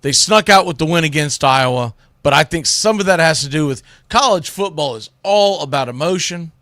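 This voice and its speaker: A man's voice: fairly high pitch, expressive, moderate pace, fairly bright tone, dry recording, studio quality. A man hosting sports radio, giving opinionated college football commentary in a blunt, emphatic, colloquial way.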